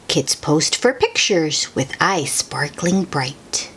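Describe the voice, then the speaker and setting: A woman reading a picture book aloud to young children in an expressive, sing-song way, with chatty asides to the listeners. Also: low-pitched, takes it slowly, slight background noise. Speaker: children's read-aloud host.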